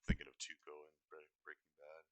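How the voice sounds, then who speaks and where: A man's voice: very deep voice, completely flat delivery, brisk, no room sound, poor audio. A man livestreaming in a rambling, unscripted way, often hesitating, digressing and correcting himself mid-thought. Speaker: livestream host.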